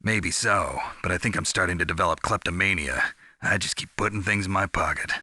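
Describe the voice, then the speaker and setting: Very husky, gruff male soldier. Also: low-pitched, brisk, no room sound, studio quality, full character voice. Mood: dry teasing. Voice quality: gravelly, husky. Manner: terse, wry, deadpan. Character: gruff, world-weary male covert soldier. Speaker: soldier on a mission. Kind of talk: scripted video game voice acting.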